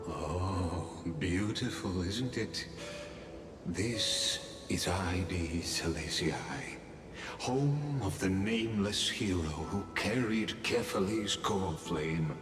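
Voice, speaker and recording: An actor playing a poised, enigmatic storyteller in a video game, speaking in a theatrical, measured, teasing manner. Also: male voice, sits low, animated, background noise. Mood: wistful, admiring. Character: poised, enigmatic male storyteller guiding visitors through memories. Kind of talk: dramatic game dialogue narration. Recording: echoey long reverb, music behind.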